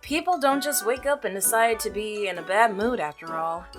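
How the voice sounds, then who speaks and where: A woman's voice: medium pitch, moderate pace, music in the background, close to an everyday voice. A woman reading as a chatty friendly milkman. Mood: upbeat chatter. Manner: cheerful, rambling. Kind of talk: reading game dialogue aloud.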